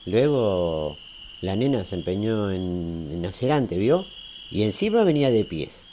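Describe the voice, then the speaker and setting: A man's voice: lowish voice, very animated, a little slow, dark tone. A middle-aged man with a Rioplatense Argentine accent playing a talkative, tender-hearted small-town family man in a radio play. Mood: tender, chatty. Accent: Rioplatense Argentine Spanish. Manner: talkative, folksy, seeking agreement. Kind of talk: amateur radio play acting. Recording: faint hiss with night crickets. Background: crickets, night ambience.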